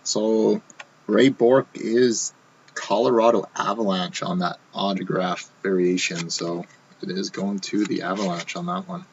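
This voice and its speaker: A man hosting a live card box break, talking casually while he opens packs, his voice going hoarse. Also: on the low side, expressive, unhurried, muffled recording, a little hiss or hum. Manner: casual, running commentary while opening packs. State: losing his voice.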